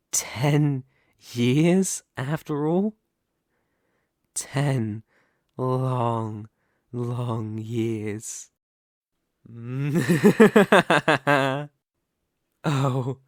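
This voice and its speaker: Slow, taunting Demon King, played by a man. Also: mid-range voice, dry recording, clean studio sound. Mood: teasing, amused, then mocking, playful. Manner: slow, teasing, theatrical delivery with dramatic pauses. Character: mighty male Demon King, taunting, possessive, silkily obsessed with hero. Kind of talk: ASMR roleplay audio drama.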